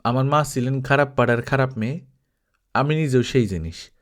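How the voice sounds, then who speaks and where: A man voicing a hard, bitter young stage actress in a story reading, with a sharp, insistent, colloquial manner. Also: medium pitch, normal speed, lightly acted. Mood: bitter, defiant.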